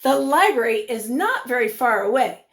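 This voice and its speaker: A woman teacher reading a picture book aloud to young children, expressively, pausing to put questions to them. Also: fairly high pitch, sing-song, fairly bright tone.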